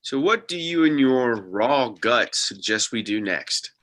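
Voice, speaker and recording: A man's voice: medium pitch, expressive, a little slow, a bit bright. A man playing a skeptical, practical young sidekick in a script read, with a wry, casual way of talking. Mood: sarcastic, doubtful. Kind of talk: table read of a TV pilot script.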